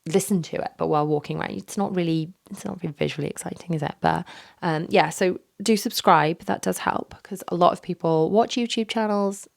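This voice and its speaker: A hoarse woman in her thirties talks straight into a microphone in her home office, rambling candidly with plenty of fillers. Her voice sits low and rough with a gravelly fry, and she sounds worn out from being ill. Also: fairly quick. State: hoarse, recovering from illness. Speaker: podcast host. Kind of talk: casual solo podcast chat. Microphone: studio microphone.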